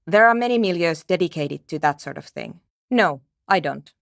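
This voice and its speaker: Anxious impatient programmer, terse and precise, lively pitch swings, dry studio sound, video game dialogue. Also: female voice, moderate pace, creaky, no echo. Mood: curt, dry. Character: guarded, impatient, anxious woman radio-computer programmer. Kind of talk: scripted game character dialogue.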